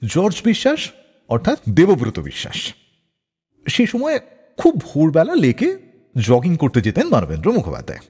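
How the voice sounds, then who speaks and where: A man hosting a radio show, telling anecdotes in a dramatic, expressive scripted style. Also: mid-range voice, breathy edge. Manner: expressive, acts out quoted dialogue. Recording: long reverb on the voice.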